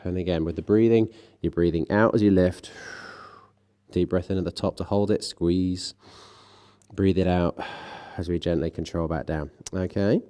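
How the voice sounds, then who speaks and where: Deep-voiced, congested man coaching stretches. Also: moderate pace, long pauses, smooth. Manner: calm coaching with light self-mocking asides. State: congested from a cold, a bit nasal. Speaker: fitness instructor. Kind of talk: guided stretching class.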